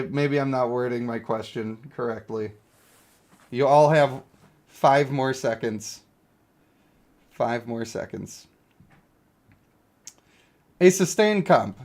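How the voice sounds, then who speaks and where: A man doing casual live-stream game commentary, reading text aloud and thinking out loud in informal, slangy, sometimes profane language. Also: medium pitch.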